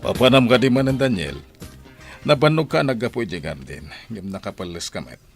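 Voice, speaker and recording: Stern blunt village man in a radio drama, lowish voice. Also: big pitch swings, normal speed, gravelly, soft background music. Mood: fatherly, probing. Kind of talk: Ilocano radio drama acting.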